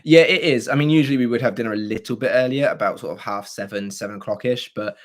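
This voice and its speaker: A hoarse young man with a scratchy, throaty voice, hosting a livestream and chatting casually with viewers. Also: medium pitch, fast. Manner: chatty and rambling, with frequent fillers and throat clearing. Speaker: livestream host. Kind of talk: casual livestream chat answering viewer comments.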